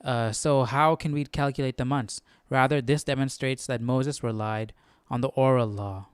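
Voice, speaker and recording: A man with a smooth, clean voice on the low side, recorded close and dry. He hosts a Bible study stream, talking to himself in an informal, inquisitive way and reading passages aloud as he goes. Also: steady tone, moderate pace, no echo. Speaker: Bible study livestream host. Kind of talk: casual livestream study, thinking aloud and reading articles aloud.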